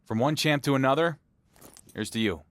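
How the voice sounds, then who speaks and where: A man's voice: mid-range voice, normal speed, a bit bright, smooth, natural voice. A man playing a confident wrestling champion in a game cutscene, talking in a wry, self-assured way. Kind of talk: video game cutscene acting.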